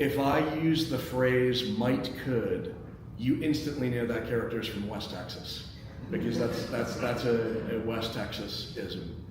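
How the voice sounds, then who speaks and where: An American man from Chicago hosting a casual panel discussion, speaking haltingly and repeating words as he goes. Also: medium pitch. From Chicago, United States. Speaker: host, screenwriter. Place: echoey indoor room at a table read event. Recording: echoey room, distant mic, clear background noise.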